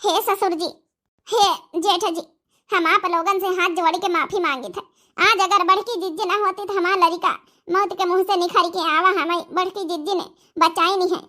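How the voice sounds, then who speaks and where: Woman acting very shrill, pleading Awadhi village sister-in-law. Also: fairly even intonation, normal speed, sharp tone. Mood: repentant, grateful. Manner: pleading, effusive, repetitive. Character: emotional rural younger sister-in-law, repentant anxious young mother. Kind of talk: melodramatic cartoon comedy dialogue.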